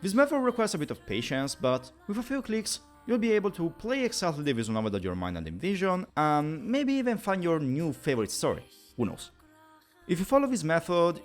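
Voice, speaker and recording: A man hosting a tutorial, narrating with playful, mock-formal phrasing. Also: on the high side. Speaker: video host.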